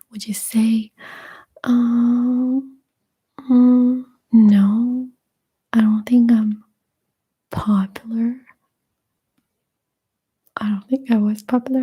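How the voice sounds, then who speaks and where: A young woman whispering softly in a breathy voice, a native Russian speaker chatting unhurriedly as she answers viewers' questions in an ASMR stream. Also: fairly high pitch. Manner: soft, unhurried, chatty, laughs often.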